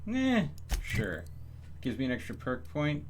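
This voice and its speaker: A man's voice: mid-range voice, huge pitch swings, a little slow. A man doing casual live game commentary, muttering his thoughts aloud as he plays. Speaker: video game streamer. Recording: loud game noise behind voice. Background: loud video game sound effects and ambience.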